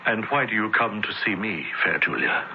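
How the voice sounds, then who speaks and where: Man acting a commanding high priest, deepish voice, measured theatrical delivery, sinister, faintly hissy vintage radio drama. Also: natural voice. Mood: haughty, probing. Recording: muffled old radio broadcast, faint hiss.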